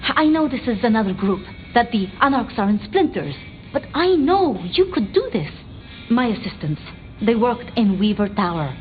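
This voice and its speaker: A flustered, devoted vampire scholar in a video game. Her voice is fairly high and very lively in pitch, stumbling and apologizing as it goes. The sound is slightly dulled. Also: phone-quality sound, faint music behind. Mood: anxious, pleading. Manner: stammering, hesitant, halting and apologetic. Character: timid, flustered, bookish female vampire researcher, devoted to her retainers. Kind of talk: video game dramatic dialogue.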